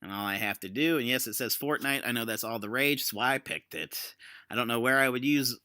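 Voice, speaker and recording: A man's voice: medium pitch, fairly quick, sharp tone, clear, resonant tone, dry recording, studio quality. A man giving a casual screen-share tutorial as an educator, explaining things conversationally. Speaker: educator demonstrating a software tool.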